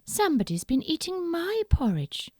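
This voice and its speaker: A woman voicing a caring mother bear in a storybook, gentle and motherly. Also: very high-pitched, big pitch swings, moderate pace, dry recording, clean studio sound, pitched above the performer's own voice. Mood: puzzled.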